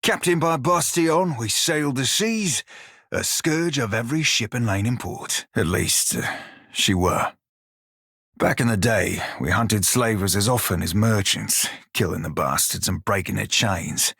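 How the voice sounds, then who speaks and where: An actor playing a gruff, wry pirate shiphunter in a video game, with a rough-edged voice and folksy sailor dialect. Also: male voice, lowish voice, expressive, measured pace, a bit bright, dry recording, studio quality, heavily acted. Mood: proud. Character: salty blue-furred pirate shiphunter, former slave, gruff and wry. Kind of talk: video game character dialogue.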